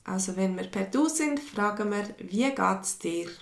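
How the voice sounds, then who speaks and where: A woman's voice: mid-range voice, lively intonation, vocal fry. A Swiss woman with a mixed Swiss German accent, giving a patient online language lesson and answering viewers' questions. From Switzerland. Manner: slow, patient, reads viewers' comments aloud. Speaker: language teacher. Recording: echoey room.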